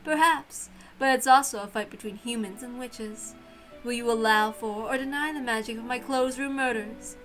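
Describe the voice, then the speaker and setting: A woman's voice: on the high side, moderate pace, over music, close to an everyday voice, rough recording. A woman voicing a haughty, playful witch centuries old, theatrical and teasing, in a dramatic visual novel reading. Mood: challenging, grand. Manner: theatrical, teasing, given to chuckling. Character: haughty, playful centuries-old female witch who relishes mystery games.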